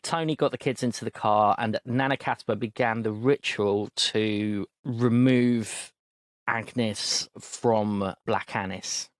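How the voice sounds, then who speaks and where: A man recapping a tabletop game session as game master, relaxed and full of ums. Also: on the low side, takes it slowly, no room sound.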